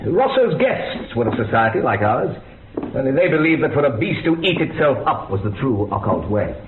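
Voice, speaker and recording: Brief: male actor as mystical seance-goer, mid-pitched, widely swooping, poetic radio-play delivery, narrow hissy audio. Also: big pitch swings, moderate pace, close to an everyday voice. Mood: cryptic. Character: male occult seance devotee, cryptic and grandiose. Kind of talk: radio drama acting. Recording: tinny band-limited noisy radio recording.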